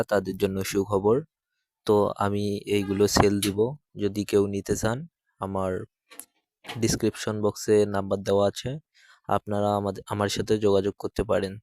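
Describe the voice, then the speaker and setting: A man's voice: on the low side, monotone, normal speed, a little mellow, dry recording. A man speaking as a channel host and animation creator, making an informal announcement to viewers.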